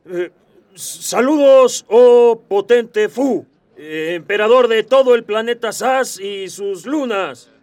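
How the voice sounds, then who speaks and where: An actor with a Mexican accent playing a nervous everyman giving a halting, stiffly formal greeting in a radio drama. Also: high voice, animated, darkish tone, heavily acted. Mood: nervous formality. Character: nervous Mexican man stiffly addressing an emperor.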